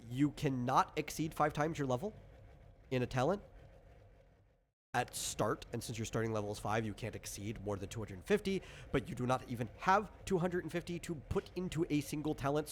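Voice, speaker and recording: A man's voice: medium pitch, animated, fairly quick. A man casually explaining tabletop game rules as the game master, rambling and interrupting himself as he goes. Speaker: game designer running character creation. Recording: clear background noise.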